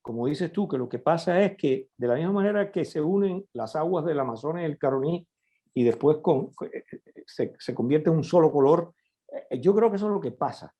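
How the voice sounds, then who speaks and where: Reflective man, mellow voice. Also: fairly high pitch, lively intonation. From Cuba. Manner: reflective, explanatory, pauses to find words. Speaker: panel guest, television director. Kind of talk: panel discussion.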